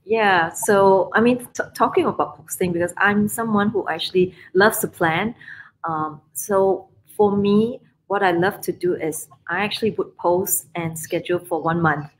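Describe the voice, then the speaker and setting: A woman's voice: medium pitch, moderate pace, a little hiss or hum, low-quality recording. A woman in her forties from Singapore with a Singaporean English accent, hosting a casual live-streamed business chat over a video call, upbeat and chatty. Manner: upbeat, chatty, many fillers. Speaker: podcast host. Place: room abroad, indoors, video call. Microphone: webcam on video call.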